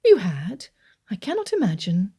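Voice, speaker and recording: A woman voicing a witty young gentlewoman who speaks softly, close to a whisper. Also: mid-range voice, sing-song, moderate pace, breathy edge, voice pushed up. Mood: soft teasing. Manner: soft, near-whispered.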